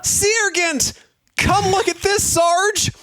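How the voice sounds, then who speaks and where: A man voicing a frantic young soldier, shrieking in panic as he yells for help in an amateur story reading. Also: very high-pitched, fairly even intonation, takes it slowly, pitched above the performer's own voice, heavily acted. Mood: alarmed.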